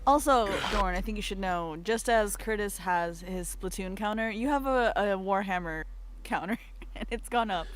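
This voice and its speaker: A woman in relaxed, casual conversation on a live stream. Her manner is playful and giggly, with a middling pitch and a touch of mock-formal wording. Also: normal speed. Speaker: guest on a live work stream. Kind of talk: casual chat.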